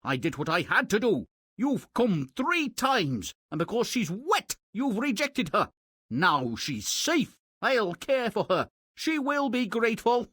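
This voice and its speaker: Melodramatic, swooping poor miller. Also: male voice, high-pitched, very animated, a little slow, a bit bright, slightly rough, no echo, exaggerated voice. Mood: defensive. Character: poor miller, greedy, self-justifying father. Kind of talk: theatrical video game fairy tale acting.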